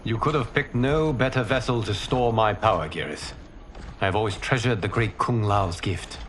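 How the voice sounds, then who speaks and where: An actor playing a noble, gentle god and protector in a video game, speaking in a formal, earnest, courteous way. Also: male voice, fairly low pitch, moderate pace, slight background noise, lightly acted. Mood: grateful, earnest.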